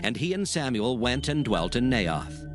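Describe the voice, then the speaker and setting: A man's voice: medium pitch, sing-song, measured pace, music in the background. A man narrating a dramatized scripture reading in solemn, formal archaic phrasing.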